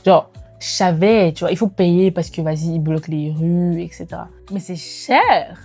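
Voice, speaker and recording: A woman in her twenties chatting casually to viewers as a lifestyle vlogger, in an animated, informal way with slangy phrasing and bits of English mixed in. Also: on the low side, moderate pace. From immigrated to Canada. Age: 20s. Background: background music.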